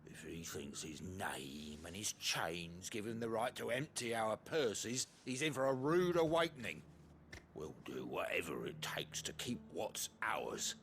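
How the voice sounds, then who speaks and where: A man's voice: lowish voice, expressive, a little slow, fairly bright tone, a little hiss or hum. An actor playing an embittered, defiant townsman in a fantasy game, grumbling and resentful. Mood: bitter defiance. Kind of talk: scripted fantasy game acting.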